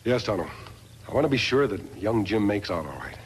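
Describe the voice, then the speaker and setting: An actor playing an earnest, steadfast hero in a 1950s radio western, speaking in a measured, formal way. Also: male voice, on the low side, sing-song, soft background music. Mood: earnest, concerned. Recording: noisy vintage 1950s radio recording.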